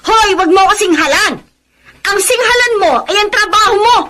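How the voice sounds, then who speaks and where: A woman's voice: very high-pitched, moderate pace, very noisy. A woman with a Filipino accent playing a nagging, defiant wife in a radio drama. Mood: angry, scornful. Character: shrill, nagging, defiant wife quarrelling over money.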